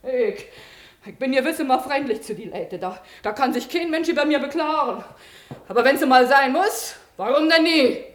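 Lady doing a feisty village washerwoman, chatty and blunt, really high voice, echoey old radio play. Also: moderate pace, close to an everyday voice. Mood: indignant, feisty. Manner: garrulous, plain-spoken, dialect-heavy. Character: feisty, folksy working-class village washerwoman. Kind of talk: classic German radio drama acting.

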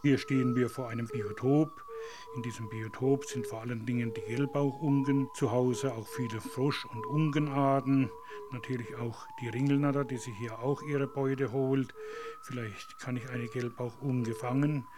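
A man's voice: mid-range voice, fairly even intonation, normal speed, noisy background. A man speaking as a nature guide, giving plain explanations outdoors by a pond.

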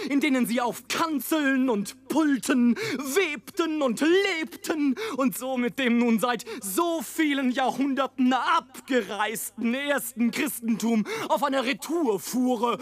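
An actor playing a sardonic airship traveler in a literary radio play, declaiming his journey with theatrical irony. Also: very high-pitched.

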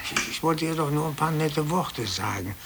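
An actor playing an aggrieved, self-pitying clerk in 1950s radio drama, brooding, grumbling and repeating himself when agitated. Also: male voice, on the high side, lively intonation, normal speed. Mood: dejected, self-pitying. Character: aggrieved, self-pitying male office clerk, resentful of his boss. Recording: hissy old 1950s radio tape.

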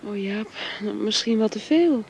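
An actress playing a young woman torn between love and faith, speaking softly and hesitantly. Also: medium pitch, animated, normal speed, slightly rough. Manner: soft, hesitant.

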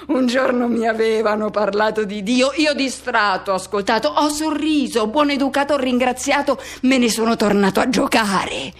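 Woman voicing wistful man remembering first love, higher-pitched. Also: moderate pace, few pauses, lightly acted. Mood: fervent. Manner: fragmented, repetitive, poetic phrasing. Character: man recalling his first teenage love for another boy. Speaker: actress performing a radio drama monologue. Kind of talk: dramatic radio theatre monologue.

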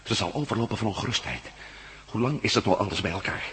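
A man's voice: lowish voice, expressive, fast talker, fairly bright tone, a little hiss or hum. An actor playing a restless, watchful male captive adventurer in a radio play. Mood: tense, watchful. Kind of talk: radio drama acting.

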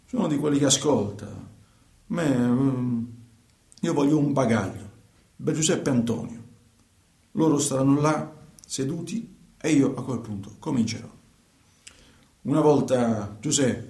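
An actor playing a troubled middle-aged truck driver, telling his story haltingly in a radio play. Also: medium pitch, a little mellow, echoey room, natural voice. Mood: rambling, then confiding. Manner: hesitant, halting, repetitive, colloquial. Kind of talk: theatrical monologue in a radio play.

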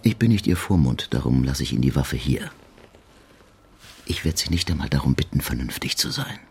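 Deep-voiced, dry police inspector. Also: male voice, animated, fairly quick, vocal fry, darkish tone, breathy edge, full character voice. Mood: probing, authoritative. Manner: dry, laconic, ironic questioning. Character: dry, shrewd middle-aged male chief police inspector. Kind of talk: German radio crime drama acting.

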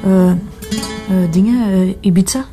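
An actress playing an evasive, nervous accomplice in an audio drama, hesitant and defensive, with a Flemish accent. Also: female voice, mid-range voice, a little slow, music in the background, close to an everyday voice. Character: evasive, nervous young female accomplice under interrogation.

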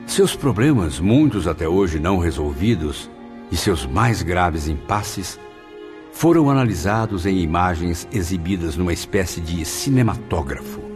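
A man's voice: low-pitched, darkish tone. An actor playing an earnest male spirit with a Brazilian accent, recounting his afterlife studies in a formal, reflective radio drama style. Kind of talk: radio drama narration in character.

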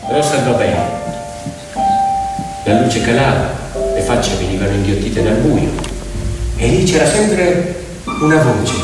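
A man telling a story theatrically as a stage actor, with dramatic, drawn-out phrasing. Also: mid-range voice, animated. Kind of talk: theatrical narrative storytelling with piano. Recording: very echoey hall, distant, loud music. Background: loud piano music.

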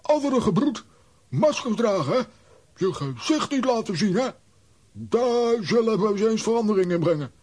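An actor playing a hot-headed, blustering comic hero with a husky voice in a children's radio play. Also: male voice, sits high, big pitch swings, moderate pace, darkish tone, exaggerated voice. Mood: indignant. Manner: indignant, theatrical.

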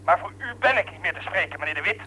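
An actor playing a defiant young worker in a radio drama, accusing and sarcastic, bitter at being betrayed. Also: male voice, on the high side, expressive, quick pace, pushed and bright, a little hiss or hum, low-quality recording.

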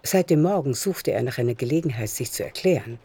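Mellow low-voiced woman narrator. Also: expressive, quick pace, slightly rough. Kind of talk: scripted literary narration for radio drama.

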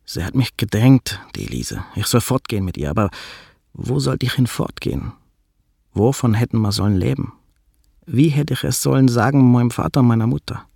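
An anxious young man in a radio play, torn by secret love. His voice is husky and creaky, with a Yiddish accent and a halting, subdued delivery, recorded close and dry. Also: medium pitch, expressive, a little mellow, no echo. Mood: sorrowful, troubled. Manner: halting, subdued, inverted word order. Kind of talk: radio drama dialogue and first-person narration.

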